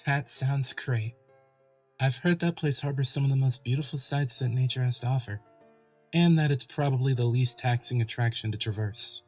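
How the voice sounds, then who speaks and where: Man as young male pony husband, medium pitch, earnest, affectionate, audio drama, music underneath. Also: moderate pace, natural voice. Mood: earnest, fond. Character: young stallion husband, fed up with work, loving, upbeat. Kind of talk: acted audio fan fiction.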